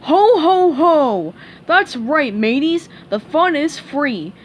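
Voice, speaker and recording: A woman reading a storybook aloud, voicing a greedy, jolly old male crab who runs a restaurant, with a gruff pirate-like bluster. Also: high-pitched, sing-song, slow, a little hiss or hum, pitched above the performer's own voice, exaggerated voice.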